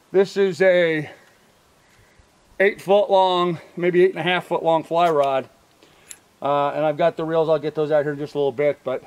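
Older man, high voice, rambling gear talk. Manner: rambling, many ums and uhs, self-correcting. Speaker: vlogger showing fishing gear. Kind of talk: casual show-and-tell. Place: outdoors by a truck in a field. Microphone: camera mic from a few feet.

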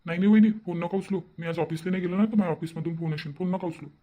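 A man's voice: high-pitched, racing, phone-quality sound. A man voicing an easygoing, moody young brother-in-law in a cartoon, casual and repetitive, in Varhadi-accented Marathi. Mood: reluctant, fretful. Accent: Varhadi Marathi, Vidarbha. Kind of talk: amateur cartoon voice-over.

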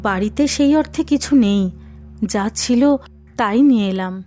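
A woman voicing a meek, patient, middle-aged mother-in-law in a cartoon, gentle and apologetic in the way she talks. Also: medium pitch, normal speed, faint music behind, natural voice. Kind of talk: Bengali cartoon voice acting.

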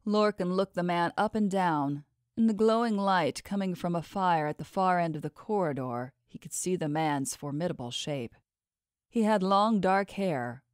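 Low-pitched woman reading a fantasy audiobook. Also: a little mellow, no room sound, clean studio sound. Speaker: audiobook narrator. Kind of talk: scripted fantasy novel narration.

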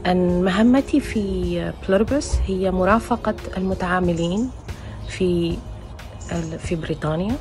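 A woman's voice: on the low side, racing, a little mellow, soft background music. A woman from Algeria, living in Britain, giving a short self-introduction to camera. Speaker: team associate introducing herself.

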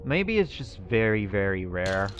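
A man's voice: lowish voice, sing-song, measured pace. A young man roleplaying through a survival game, musing aloud to himself with nervous outbursts. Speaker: gaming YouTuber roleplaying a character. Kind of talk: in-character gameplay commentary.

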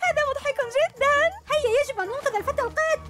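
A woman's voice: very high-pitched, fast talker, loud music behind the voice. A woman voicing a mischievous, smug villain girl in a dubbed cartoon, taunting the young heroes. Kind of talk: cartoon dub acting.

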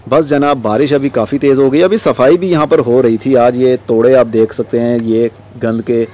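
A man's voice: mid-range voice, steady tone, moderate pace, mellow. A man hosting a gardening vlog, giving a casual walkthrough outdoors on a rooftop terrace, recorded on a handheld camera. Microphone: handheld camera microphone. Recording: outdoor ambient noise, light urban background.

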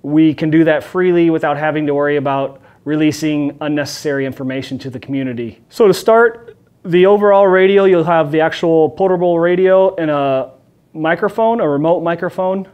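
Man explaining, slightly high-pitched voice. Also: fairly quick, a little mellow, smooth. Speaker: police officer presenter. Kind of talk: informal explainer to camera. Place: small office meeting room, indoors.

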